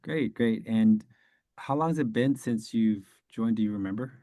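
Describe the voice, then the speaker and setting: A man hosts a casual online interview, his voice sitting low. He keeps his questions short and encourages his guests. The recording is dry and close. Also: normal speed, dark tone, no room sound. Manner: brief, encouraging interviewer prompts. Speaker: podcast host. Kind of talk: casual video call interview.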